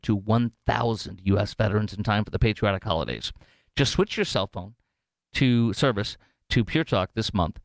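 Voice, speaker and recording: A man's voice: lowish voice, no echo. A man with an American accent hosting talk radio in an opinionated, jokey, emphatic way, often wandering off on digressions. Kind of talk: unscripted talk radio monologue with live ad reads.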